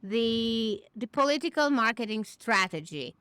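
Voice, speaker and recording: A woman's voice: fairly high pitch, expressive, measured pace, fairly bright tone, clean tone, no room sound. A woman from Romania, answering interview questions confidently as a business founder. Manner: confident, matter-of-fact. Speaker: guest, naming agency founder.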